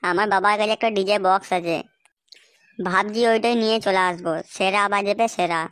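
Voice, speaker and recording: A woman's voice: medium pitch, steady tone, fairly quick, a bit bright, no echo, close to an everyday voice. A woman voicing a pushy, greedy young man who nags his friends over and over in a cartoon. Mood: boastful. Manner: nagging, repetitive demanding. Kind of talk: Bengali cartoon dubbing.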